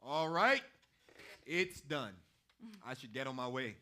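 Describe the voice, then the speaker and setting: An actor playing a courteous, image-conscious politician in a staged play reading, measured and placating. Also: male voice, mid-range voice, lively intonation, bright, forceful tone. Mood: polite.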